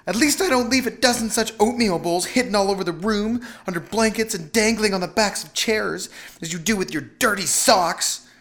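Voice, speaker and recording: A young storybook wife played by a man, scornful and exasperated. The voice sits high and swoops about as she nags, ready to shout over any din. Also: sing-song, fairly quick, hardly any pauses.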